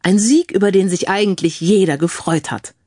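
A woman voicing a cheeky, excitable male cyber detective who teases and mocks, in an audio crime story. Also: mid-range voice, normal speed, dry recording, clean studio sound, lightly acted. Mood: proud, indignant.

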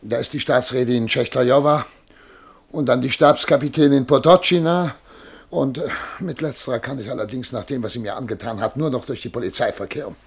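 A distressed, pompous major in a radio play. His voice is gravelly and husky at a middle pitch, pleading plaintively and bristling with wounded dignity. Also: a little fast. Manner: plaintive, self-important. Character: distressed, self-important noseless Russian major, pleading and indignant. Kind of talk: German radio drama acting.

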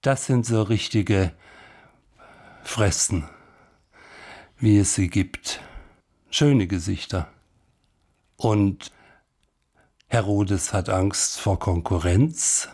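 A man giving spontaneous, reflective film commentary in halting phrases as he muses. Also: lowish voice, husky. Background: loud music.

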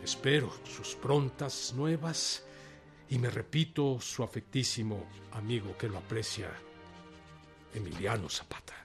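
An actor playing a resolute, defiant Mexican revolutionary leader, declaiming emphatically in a radio drama. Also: male voice, mid-range voice, a little slow, natural voice. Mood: solemn. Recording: music behind the voice. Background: music.

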